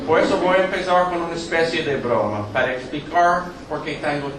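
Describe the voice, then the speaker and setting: A middle-aged New Yorker, a native English speaker with a strong American English accent, giving a lecture in a hall, joking often and pausing on fillers. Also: male voice, fairly high pitch. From New York, United States. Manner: halting, many fillers, joking asides. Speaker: guest lecturer. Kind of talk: public lecture with jokes. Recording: echoey hall, distant mic, background noise.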